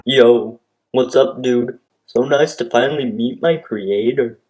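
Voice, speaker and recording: A man's voice: mid-range voice, takes it slowly, darkish tone, soft background music, close to an everyday voice, low-quality recording. A man playing a laid-back, unmenacing slacker monster with casual, nonchalant delivery in a comedic radio drama. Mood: friendly, chill.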